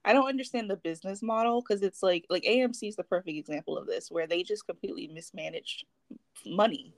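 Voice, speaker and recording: A woman's voice: medium pitch, quick pace, no echo. A woman co-hosting a casual talk podcast, sounding exasperated and often trailing off. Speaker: podcast co-host. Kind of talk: casual chat.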